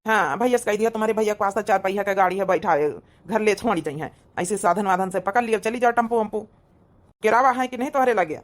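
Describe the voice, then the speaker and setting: Woman as a quick-talking Awadhi village husband. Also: mid-range voice, steady tone, lightly acted. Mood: casual advising. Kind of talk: comic cartoon dialogue.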